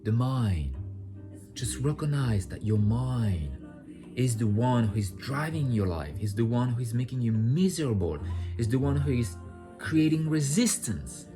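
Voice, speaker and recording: A man speaking off the cuff to his viewers, blunt and full of swearing, whose talk swells into impassioned outbursts. His voice sits at an ordinary middle pitch, with faint music playing underneath. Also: expressive, unhurried. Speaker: vlogger sharing personal insights. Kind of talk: unscripted personal monologue.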